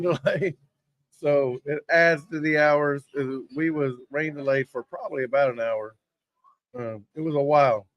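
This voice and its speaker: Hoarse man ranting about football. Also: a little high, no echo. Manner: rambling, gets worked up over play calls. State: hoarse from yelling. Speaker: host. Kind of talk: casual sports talk. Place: sports bar.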